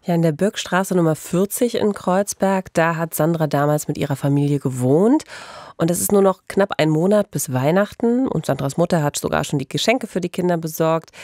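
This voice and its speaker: Low-voiced female podcast host, dry sound. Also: darkish tone, no echo. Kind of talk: true-crime podcast storytelling.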